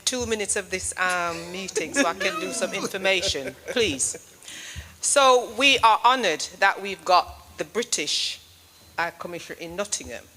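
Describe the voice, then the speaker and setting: Polite bright-voiced woman making an announcement. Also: mid-range voice, animated, unhurried. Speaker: audience member making an announcement.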